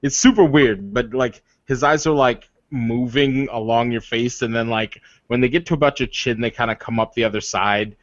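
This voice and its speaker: A man improvising tabletop game narration as game master, in a halting, colloquial way. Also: medium pitch. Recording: muffled, echoey room recording.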